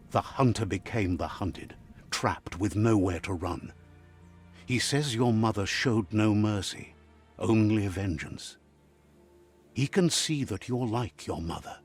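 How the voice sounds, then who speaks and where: A man playing a grave storyteller, narrating a dark animal fable for a video game in a measured, solemn way. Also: on the low side, husky. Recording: music behind the voice. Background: game music.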